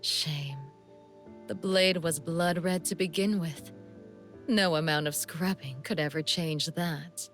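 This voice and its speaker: A seductive female assassin from a video game, with a lowish voice and very slow, languid delivery. She is coolly amused and teasing, breathy at times, with a sadistic menace under every phrase. Music plays behind her. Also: a bit bright. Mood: cool, reflective. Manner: languid, teasing, deliberate, sometimes breathy near-whisper. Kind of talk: video game character voice lines.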